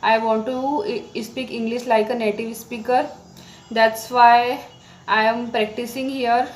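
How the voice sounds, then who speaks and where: A woman with an Indian accent and Marathi as her native language, speaking halting, simple learner's English in an informal talk to viewers. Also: on the high side, flat delivery, low-quality recording. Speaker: English-practice vlogger. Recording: background noise.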